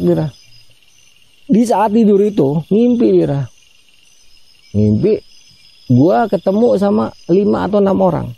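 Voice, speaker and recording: A man's voice: fairly high pitch, vocal fry, mellow, slightly rough, no room sound. A man telling a ghost story in a chatty way, often addressing his listener by name and acting out the voices he quotes. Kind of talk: casual spoken ghost story.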